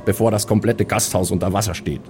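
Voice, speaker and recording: Man playing a low, mellow, formal male cleric. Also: fairly even intonation, fast, faint music behind, close to an everyday voice. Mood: grave. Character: composed, formally spoken male fantasy cleric. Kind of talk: tabletop role-play acting.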